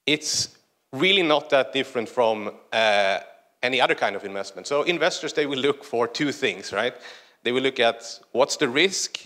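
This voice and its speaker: A man from northern Sweden with a Swedish accent, giving a conference presentation in a large hall in a conversational way with many "uh" pauses. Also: mid-range voice, fairly bright tone. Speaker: conference speaker.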